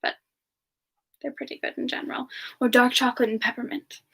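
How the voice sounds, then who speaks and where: A woman playing a playful, gentle female demon character, soft-spoken and giggly in a casual semi-ASMR live chat. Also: high voice, level tone, brisk, creaky, breathy edge, exaggerated voice. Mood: playful, relaxed. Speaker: streamer answering chat and taking song requests.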